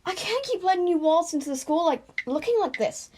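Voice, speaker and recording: Woman acting a high-voiced, exasperated school counsellor. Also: expressive, a little fast, a little mellow, slight background noise. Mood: exasperated, concerned. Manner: exasperated, admonishing. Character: slightly strict but nice female school counsellor scolding a student.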